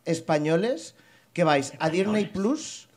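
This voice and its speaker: A man with a high voice hosts a live comedy game, rambling and tripping over his words as he explains. He speaks into a handheld mic on a small bar stage. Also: animated, slow pace, a little mellow. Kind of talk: live comedy podcast banter.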